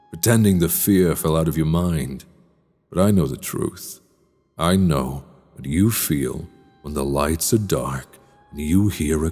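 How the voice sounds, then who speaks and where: A man playing a sinister horror-story host in an audio drama, narrating in a slow, deliberate, theatrical and menacing way. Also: extremely low pitch, dark tone, full character voice. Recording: long reverb, music underneath.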